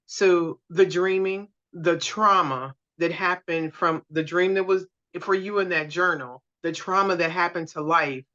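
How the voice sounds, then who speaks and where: A woman's voice: fairly low pitch, fairly even intonation, measured pace, hardly any pauses, pushed and bright, muffled recording. A woman hosting a podcast, asking earnest, searching questions in a casual interview over a video call. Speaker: podcast host.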